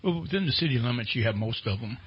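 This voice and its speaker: Male radio interviewer, husky and plain-spoken, mid-pitched, casual questioning, slightly dull lo-fi sound. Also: lively intonation, quick pace.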